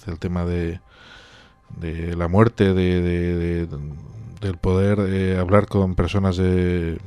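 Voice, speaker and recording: Talk show host guy, kinda low voice, dry mic, lots of "sí sí sí". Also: no room sound. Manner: brief affirmations, gentle questioning.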